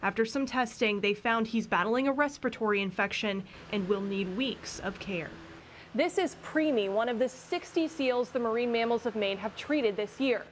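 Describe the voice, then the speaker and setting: A woman's voice: on the high side, moderate pace, a little hiss or hum. An American woman news reporter narrating a scripted field story.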